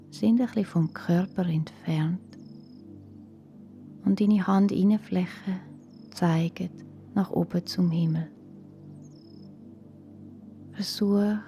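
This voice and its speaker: Very slow, hushed Swiss German woman. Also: fairly low pitch. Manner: calm, soothing, hushed and breathy. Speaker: guided relaxation instructor for children. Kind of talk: slow guided yoga nidra meditation. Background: soft music.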